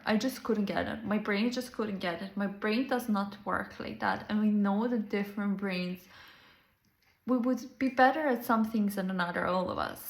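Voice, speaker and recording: A woman's voice: mid-range voice, echoey room. A woman with a Serbian accent, a Serbian native speaker, talking as a life coach in an earnest, reflective monologue with simple non-native grammar.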